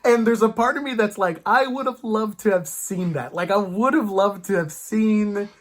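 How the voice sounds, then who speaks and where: Animated, rambling host in casual chat. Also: mid-range voice, moderate pace. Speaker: podcast host. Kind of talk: casual comic book fan chat.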